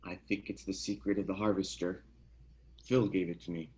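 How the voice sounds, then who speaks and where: A man playing a grave, haunted police detective in a screenplay table read, serious and measured. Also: sits low, steady tone, a little mellow, lightly acted.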